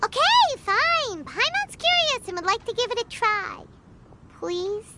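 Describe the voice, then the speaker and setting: A woman voicing a small, bubbly, curious childlike fairy companion in a video game, with a squeaky, chirpy delivery. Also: big pitch swings, a little slow, heavy background noise, exaggerated voice. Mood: pleading. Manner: chirpy, refers to self by name. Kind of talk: video game character acting. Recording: loud playful game music behind.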